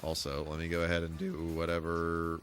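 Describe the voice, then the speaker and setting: Male game streamer, American, deep register, chatty and good-humored, loose rambling delivery over faint music. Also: completely flat delivery, brisk, fairly bright tone. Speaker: live streamer. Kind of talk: casual gaming livestream commentary.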